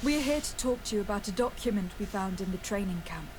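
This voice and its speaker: A woman's voice: mid-range voice, expressive, fast, background noise, close to an everyday voice. An actress voicing a poised, courteous young noblewoman envoy in a video game, formal and diplomatic. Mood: composed.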